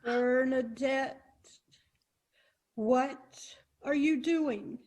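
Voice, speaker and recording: A woman's voice: high-pitched, extremely slow, a little mellow, full character voice. A woman playing an exasperated, bossy leader of an amateur theater production in a web comedy, with an emphatic, scolding manner. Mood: stern, exasperated. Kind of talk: comedic web series acting.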